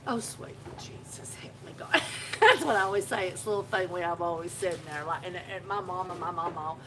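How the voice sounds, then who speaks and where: A woman's voice: fairly low pitch, fairly quick, very noisy. A woman, hosting a casual live video from her own shop and telling a rambling story with frequent laughter. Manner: rambling, chatty storyteller who laughs often. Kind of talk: casual live-stream chat. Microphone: phone live video.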